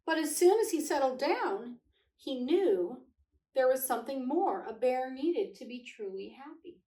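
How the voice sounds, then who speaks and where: A middle-aged woman reading aloud to children as a host, with gentle, expressive delivery. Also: high voice, a little slow. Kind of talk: storybook read-aloud with casual chat. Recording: echoey room, distant microphone.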